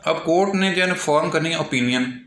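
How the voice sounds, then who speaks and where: A man with a Pakistani accent giving an explanatory law lecture, going step by step with repetition and reading formal passages aloud slowly and haltingly. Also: a little high, a bit bright. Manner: slow, halting when reading English aloud. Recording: echoey room.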